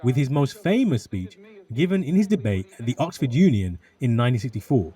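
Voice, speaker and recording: A man's voice: mid-range voice, sing-song, brisk, warm tone. A man reading scripted documentary narration.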